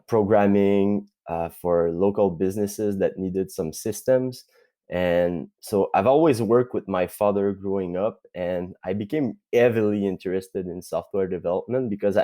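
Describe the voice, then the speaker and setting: A young man from Quebec with a Quebec French accent, speaking as a guest on a tech podcast interview and pausing often with fillers. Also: lowish voice, dark tone. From Quebec, Canada. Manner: thoughtful, many filler pauses. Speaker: guest, tech company CTO.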